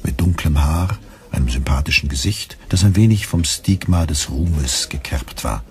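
A man's voice: a bass voice, expressive, moderate pace. A man reading scripted literary narration for a radio play.

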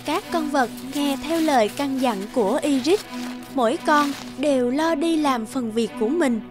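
A woman's voice: sits high, moderate pace. A woman narrating a scripted fairy tale for a cartoon. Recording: music under the voice.

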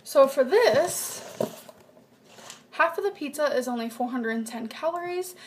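A young woman showing her groceries in a casual home vlog, chatty and reading off nutrition numbers in a flat list, recorded on a webcam in her kitchen. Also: high voice, unhurried, slight background noise. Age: young adult. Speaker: vlogger. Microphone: built-in webcam mic at desk distance.

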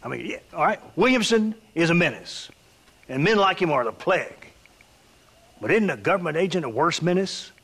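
Creaky old frontier rancher. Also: male voice, a little high, lively intonation, moderate pace, a bit bright, heavily acted. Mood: indignant. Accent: American Western. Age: older. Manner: blunt, folksy, preachy. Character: opinionated, hearty old frontier rancher, proud father. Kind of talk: dramatic video game acting.

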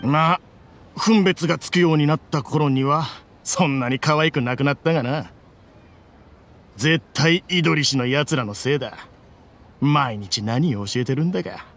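An actor playing a gruff, boastful but tender-hearted mercenary father, speaking his letter in rough, casual, teasing words in a game. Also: fairly high pitch, moderate pace, fairly bright tone, slight background noise. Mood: fond, teasing. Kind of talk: voiced letter monologue in game cutscene.

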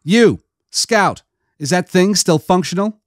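A man's voice: high voice, expressive, slow, no echo, clean studio sound, voice pushed up. A man voicing a curt young female agent. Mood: curt commanding. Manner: curt, imperious. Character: sharp young female Imperial agent, commanding.

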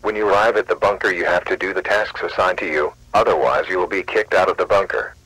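A man's voice reading a scripted emergency alert announcement in a flat, measured, official way. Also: sits low, no echo, poor audio.

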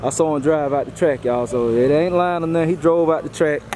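A man's voice: on the high side, steady tone, brisk. A man with a Southern American accent giving casual, informal updates straight to viewers as host, repeating himself as he goes, outdoors at a drag strip. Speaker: host filming drag racing. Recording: loud engine noise outdoors.

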